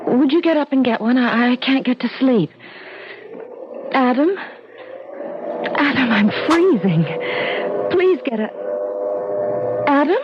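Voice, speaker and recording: An actress playing a frightened, urgent young wife in an old-time radio mystery drama. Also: female voice, high-pitched, animated, slow, pauses often. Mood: pleading, alarmed. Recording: dull, noisy vintage radio broadcast.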